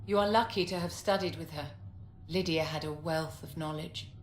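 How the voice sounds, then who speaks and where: A woman's voice: low-pitched, normal speed, lightly acted. An actress playing a proud, coolly condescending middle-aged female court mage in a video game, speaking with poised, imperious authority. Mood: polite, poised. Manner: poised, imperious, lecturing, addresses listener as my dear. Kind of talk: video game dialogue acting. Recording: roomy echo with clear background noise.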